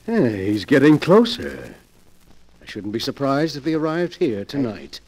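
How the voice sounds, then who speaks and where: An actor playing a coolly manipulative master of the house in a 1940s radio drama, condescending and smooth-talking. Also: male voice, mid-range voice, big pitch swings, a little slow, a little mellow, low-quality recording. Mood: scheming.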